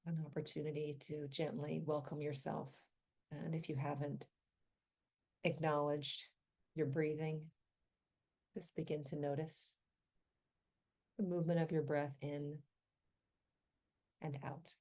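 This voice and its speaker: Woman, low pitch, soft mellow timbre, guided meditation, gentle delivery, unhurried short phrases, long pauses. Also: level tone.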